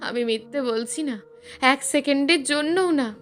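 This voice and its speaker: A woman's voice: high voice, measured pace, a bit bright, soft background music. A woman narrator voicing a guilt-ridden, frightened middle-aged father in a story, haunted and pleading. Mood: haunted, insistent.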